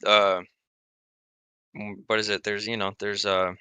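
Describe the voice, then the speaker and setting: A man's voice: on the low side, level tone, unhurried, bright, forceful tone, smooth, no echo. A man from the US East Coast chatting casually on a voice call before dawn, laid-back and swearing, with lots of fillers. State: up very early, tired. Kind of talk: casual voice chat.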